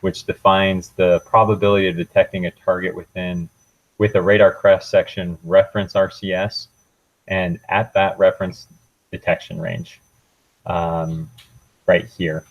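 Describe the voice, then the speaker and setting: A young man giving an informal technical presentation as a student, walking through a software demo in a hesitant, halting way. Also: low voice, little pitch movement, no room sound, muffled recording.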